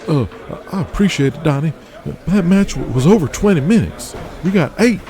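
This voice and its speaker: A man's voice: a little high, lively intonation, brisk, mellow, some chatter behind, pitched above the performer's own voice, close to an everyday voice. A man voicing easygoing 1980s pro wrestlers talking casually backstage in an audio drama. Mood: concerned, friendly. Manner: casual locker-room talk. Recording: music under the voice.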